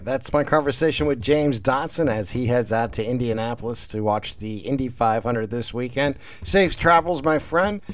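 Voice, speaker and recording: A man hosting a sports podcast, talking in a relaxed, rambling way full of fillers. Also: mid-range voice, dry recording. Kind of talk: casual sports interview. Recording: tinny phone-like sound.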